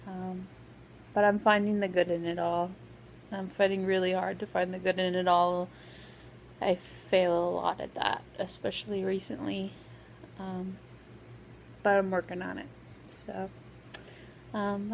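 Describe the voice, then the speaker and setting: A woman vlogger talking casually with a sore, scratchy throat, pausing often with lots of "um"s. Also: medium pitch, level tone, creaky. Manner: hesitant, full of "um" fillers. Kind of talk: casual vlog update.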